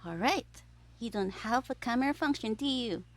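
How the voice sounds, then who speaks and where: Woman acting as a young supervillain, high voice, smug, theatrical, playful, scheming, audio roleplay. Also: expressive, normal speed, dry recording, natural voice. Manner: theatrical, playful, self-satisfied, drifting into conspiratorial whispers. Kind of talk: scripted audio roleplay as a recorded diary.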